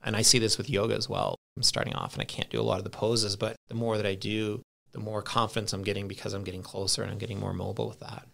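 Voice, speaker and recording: A man's voice: lowish voice, flat delivery, quick pace, vocal fry, few pauses, fairly bright tone. A man in his thirties, a podcast guest, chatting casually in an easygoing, rambling way and laughing at himself. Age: 30s. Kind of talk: casual chat.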